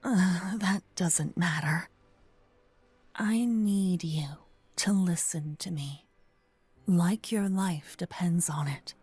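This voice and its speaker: A woman playing a gentle but possessive vampire kidnapper in an ASMR roleplay, speaking softly and intimately with frequent whispering, close to a binaural mic. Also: fairly low pitch, dry recording, exaggerated voice. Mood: urgent, earnest. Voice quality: soft, breathy, often whispering. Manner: slow, intimate, earnest, dramatic pauses. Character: gentle possessive female vampire kidnapper, self-proclaimed protector. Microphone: close binaural microphone, ear to ear.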